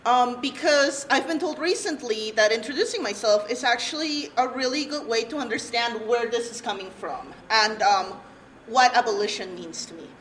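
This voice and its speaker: A young woman from Peru presents a workshop, telling her own story in a candid, earnest way and letting out light nervous laughs. Her voice sits a little high. She speaks to an audience in a room without a handheld mic, with a faint hum behind her. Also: normal speed, fairly bright tone, poor audio. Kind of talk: personal testimony at a workshop. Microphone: no handheld mic, room recorder.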